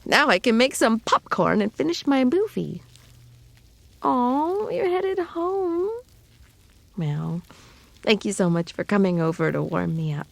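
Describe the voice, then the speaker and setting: A woman acting a playful, affectionate snake-woman in an intimate roleplay audio, teasing and cozy, often slipping into breathy whispers. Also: a little high, very animated, measured pace, pauses often, no room sound, exaggerated voice. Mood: cheerful, nostalgic.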